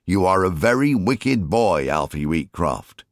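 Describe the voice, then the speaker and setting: A man voicing a gruff but fond, worried fisherman father in an audiobook story, grumbling in colloquial, clipped phrases. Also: low voice, very animated, unhurried, no echo, clean studio sound, full character voice. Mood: amused scolding.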